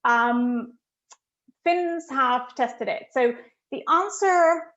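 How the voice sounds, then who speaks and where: A woman's voice: high-pitched, extremely slow, fairly bright tone, lo-fi sound. A middle-aged woman from Finland, a guest economist answering questions in an online talk, speaking emphatically and thoughtfully with frequent "you know" fillers.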